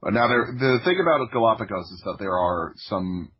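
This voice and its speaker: A man from Florida telling stories from his own life in a casual, halting way, thinking aloud as he goes. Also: on the low side, animated, slightly rough. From Florida, United States. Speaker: podcast guest, audio drama creator. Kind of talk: casual autobiographical storytelling. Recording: dull, lo-fi audio.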